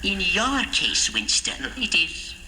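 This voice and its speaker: An actor playing a menacing, coldly instructive interrogator in a deliberate, patronizing style of old radio drama. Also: male voice, high-pitched, huge pitch swings, bright, forceful tone, slight background noise, full character voice. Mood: sinister, measured.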